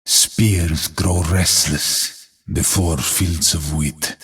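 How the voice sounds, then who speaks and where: A battle-hardened video game warrior with a heavily rasping, gritty voice. He declaims slowly and solemnly, stoic and resolute, each poetic line weighed with grave purpose. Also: low-pitched, fairly even intonation, a bit bright, exaggerated voice. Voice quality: gritty, husky. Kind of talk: video game character voice lines.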